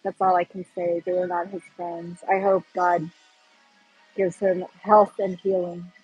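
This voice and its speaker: A young woman with a Pacific Northwest American accent chats casually to her livestream viewers. Her voice is airy and a little breathy, and she wanders between thoughts while she hunts for the right words. Soft music plays faintly in the background. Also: fairly low pitch, level tone, measured pace, dull audio. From Pacific Northwest, United States. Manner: rambling, chatty, searching for words. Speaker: livestream host.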